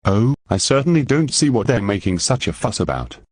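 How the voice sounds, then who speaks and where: A man's voice: on the low side, very animated, fairly quick, no echo. Man acting flustered old judge, swooping intonation. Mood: flustered, troubled. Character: flustered, worried elderly judge and grandfather. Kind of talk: radio play acting.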